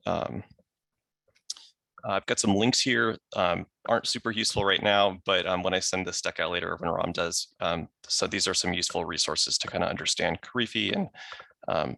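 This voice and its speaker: Guy doing a casual tech talk on a video call, deep voice w lots of fry, dry sound. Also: pushed and bright, no echo. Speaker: software engineer presenting. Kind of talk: informal technical presentation.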